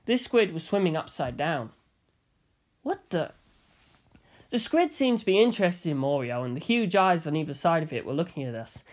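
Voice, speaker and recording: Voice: medium pitch, animated, normal speed. A narrator reading a first-person story expressively, in the style of an amateur audiobook. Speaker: audiobook reader.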